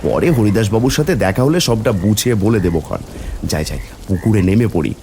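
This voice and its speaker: A man's voice: lowish voice, very animated, fast talker, dark tone, slight background noise. A man playing a poor, humble village father in a cartoon folk tale, plaintive and deferential in manner. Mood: hesitant. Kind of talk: cartoon fairy-tale dialogue acting.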